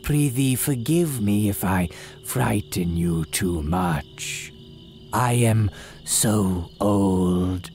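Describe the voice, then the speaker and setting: An actor playing a very old, sinister yet humble cemetery priest in a solemn, archaic radio horror monologue. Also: male voice, fairly low pitch, mellow. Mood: ominous welcome. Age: older. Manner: archaic, solemn, drawn-out dramatic delivery. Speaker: horror storyteller.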